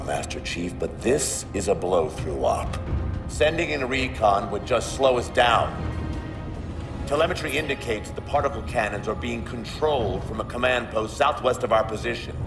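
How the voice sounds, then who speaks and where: An actor playing a stern, dismissive starship captain, giving clipped military orders in a game cutscene. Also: male voice, on the low side, roomy sound, recorded from a distance, natural voice. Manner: clipped, commanding military briefing. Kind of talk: video game cutscene acting.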